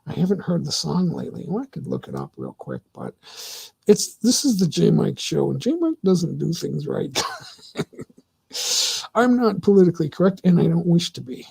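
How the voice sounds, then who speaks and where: A middle-aged man hosts his own livestream and talks straight to his chat. His voice sits fairly high and swings widely in pitch, with a warm, mellow tone. He rambles through his opinions and keeps stopping to restart his sentences. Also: huge pitch swings, normal speed, vocal fry, slightly rough. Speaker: livestream host. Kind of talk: casual livestream monologue answering chat.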